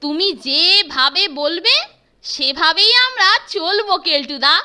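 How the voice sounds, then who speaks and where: A woman's voice: extremely high pitch, lively intonation, slow pace, sharp tone, exaggerated voice. A woman voicing a curious young boy in a cartoon, chirpy and full of questions.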